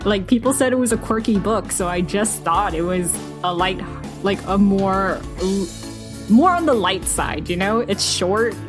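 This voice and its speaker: A woman co-hosting a podcast in a casual book chat, informal and quick to giggle. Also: mid-range voice, over music. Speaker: podcast co-host.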